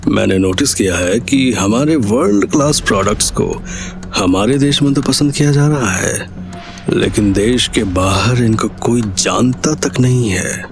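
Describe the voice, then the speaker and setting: A man's voice: on the low side, a little slow, over music. A man playing a shrewd, commanding senior business partner in an audio drama, assertive and sardonic as he lectures. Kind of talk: Hindi audio drama acting.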